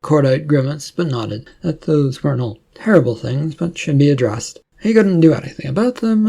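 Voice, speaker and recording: Gravelly, dark-voiced male story narrator. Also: a little high. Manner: plain, slightly halting read with restarts. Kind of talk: reading a serial sci-fi story.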